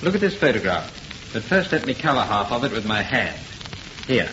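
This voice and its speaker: Solemn investigator, muffled old radio. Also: male voice, lowish voice, big pitch swings, background noise. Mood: purposeful. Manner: deliberate, solemn explaining. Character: grave investigating gentleman, calm bearer of eerie news. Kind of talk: old-time radio horror acting.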